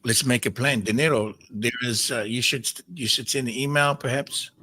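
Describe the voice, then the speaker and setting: A man hosting a spiritual call-in show, greeting callers as brother and answering in a searching, halting way. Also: medium pitch, fairly even intonation, slight background noise. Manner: warm address, calls people brother and mother. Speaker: host.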